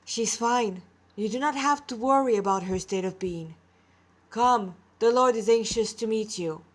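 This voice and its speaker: A woman voicing a cheerful, patient divine male sage in a story, speaking brightly, reverently and instructively. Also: a little high, a little slow. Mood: bright, reassuring.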